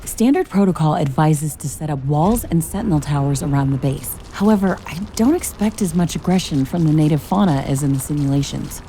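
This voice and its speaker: An actress playing a thoughtful, principled scientist-commando in a video game, speaking in a calm, articulate, wry way over music. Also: female voice, deep voice, a little fast, few pauses, slight background noise, poor audio. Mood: calm, focused. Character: thoughtful, principled female scientist-commando, wryly teasing, mech pilot. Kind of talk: scripted video game voice acting.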